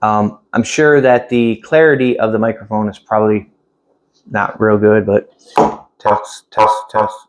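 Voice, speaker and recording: A man's voice: fairly low pitch, flat delivery, unhurried, background noise. A man giving a casual, hands-on product demonstration indoors, thinking aloud in a plain-spoken, rambling way. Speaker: product reviewer. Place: indoors at home.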